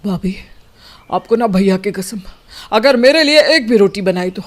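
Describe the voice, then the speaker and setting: A man's voice: sits high, lively intonation, normal speed, a little hiss or hum. A man playing a sulky, stubborn younger brother in a cartoon family drama. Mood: indignant.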